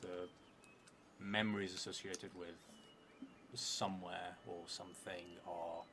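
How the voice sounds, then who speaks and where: A man's voice: sits low, little pitch movement, takes it slowly, noisy background. A man in his thirties narrating a solo tabletop role-playing game on a stream, reading prompts aloud and musing to himself. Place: indoor streaming setup in a theatre venue.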